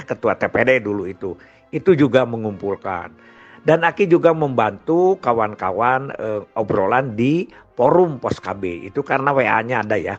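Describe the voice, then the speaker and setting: An older man with a West Javanese Sundanese-region Indonesian accent, talking informally and ramblingly like a grandfather telling of past experiences. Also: fairly low pitch. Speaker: storyteller. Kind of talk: informal reminiscing monologue. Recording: dull lo-fi sound with music behind. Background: music.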